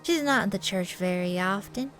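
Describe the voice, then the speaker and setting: A woman voicing a mild, earnest male pastor in a visual novel, gentle, wordy and prim, with music behind. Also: mid-range voice, lively intonation, moderate pace, close to an everyday voice, low-quality recording. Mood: worried, earnest. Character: mild, earnest male small-town pastor, fretful and devout.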